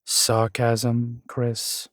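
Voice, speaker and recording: A man's voice: mid-range voice, monotone, very slow, no echo, clean studio sound. A man playing a grumpy, jealous ghost boyfriend in an audio roleplay, with a sardonic, sullen manner. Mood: sardonic, needling.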